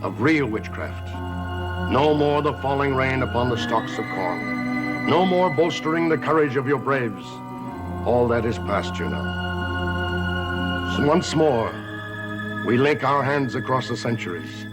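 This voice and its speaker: An older man acting a cryptic, haunted grandfather in a gothic television soap, speaking in a theatrical, measured, portentous way. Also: mid-range voice, sing-song, loud music behind the voice. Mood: ominous, incantatory.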